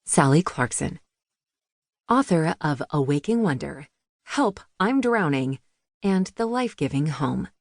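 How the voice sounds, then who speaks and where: A woman's voice: low voice, sing-song, unhurried, no echo, clean studio sound. A woman reading a memoir audiobook as a scripted narration.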